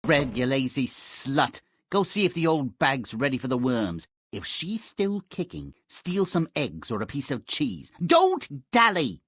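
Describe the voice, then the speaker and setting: A man's voice: medium pitch, sing-song, a little slow, hardly any pauses, a bit bright, slightly rough, no echo, studio quality, exaggerated voice. A man playing a coarse, slovenly mother who scolds harshly, in a cartoonish fairy-tale game. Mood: scornful, bossy.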